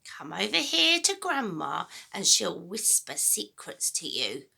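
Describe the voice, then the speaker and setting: A woman voicing a sinister, gleeful old grandmother from a children's storybook, throaty and whispery, with a drawn-out, menacing delivery. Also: medium pitch, huge pitch swings, vocal fry, pushed and bright, slightly rough, heavily acted. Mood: sly, menacing. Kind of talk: dramatic children's storybook reading.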